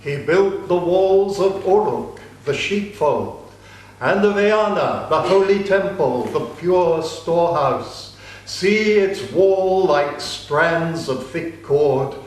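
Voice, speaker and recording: Guy reading an old epic real slow and solemn, lots of room echo, bit high voice. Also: animated, slight background noise. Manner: slow, measured, solemn recitation. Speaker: reader. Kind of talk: dramatic reading of an ancient epic. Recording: very echoey room.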